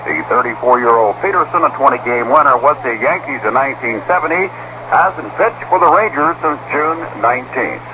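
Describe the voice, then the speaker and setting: A man's voice: medium pitch, animated, moderate pace, noisy background. An American man reading scripted sports news on the radio.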